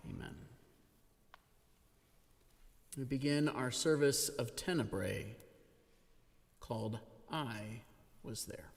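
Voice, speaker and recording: A man giving an expressive, dramatic scripture reading as a reader at a church service. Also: medium pitch, slow, creaky, long pauses. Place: church sanctuary. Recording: reverberant church hall.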